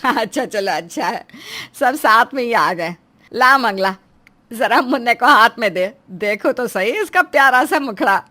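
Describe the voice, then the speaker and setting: Woman voicing an exasperated, slow-paced mother-in-law. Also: fairly high pitch, lively intonation, pushed and bright. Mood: sociable, proud. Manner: scolding, long-suffering, dramatic. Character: exasperated, proud middle-aged mother-in-law. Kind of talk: Hindi moral story cartoon dialogue.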